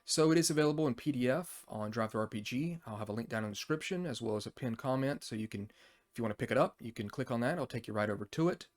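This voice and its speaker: A man's voice: medium pitch, lively intonation, fast talker. A man hosting a casual, unscripted overview, talking in a rambling, conversational way as he thinks aloud. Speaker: tabletop RPG review channel host.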